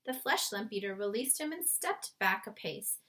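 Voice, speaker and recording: A woman's voice: medium pitch, expressive, moderate pace, fairly bright tone. A woman reading a children's story aloud as scripted narration. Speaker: storybook reader.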